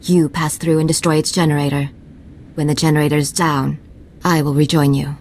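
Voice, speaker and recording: A woman's voice: sits low, flat delivery, moderate pace, darkish tone, a little hiss or hum. A woman playing a terse, commanding commando on a covert mission in a video game, speaking with urgency. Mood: urgent, focused. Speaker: military field operative. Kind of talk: scripted video game acting.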